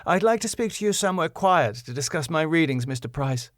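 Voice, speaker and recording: A man's voice: fairly high pitch, animated, fairly quick, fairly bright tone, no room sound, clean studio sound, voice pushed up. A man voicing a stern female council inspector in a sitcom, formal, clipped and pompous. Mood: insistent. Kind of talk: sitcom script read aloud.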